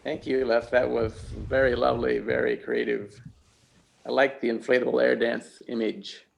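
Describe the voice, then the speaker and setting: Man, service leader, gravelly mid-pitched voice, informal and unscripted between readings. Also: expressive, normal speed. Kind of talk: informal spoken hosting of a church service.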